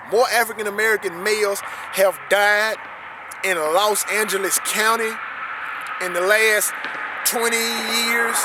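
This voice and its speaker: A man with a fairly high voice reads a text aloud and breaks it down. He speaks earnestly, repeating phrases to drive them home. He is recorded outdoors on a riverbank, with loud wind and traffic noise around him. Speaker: commentator reading a historical text. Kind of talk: reading aloud and commenting.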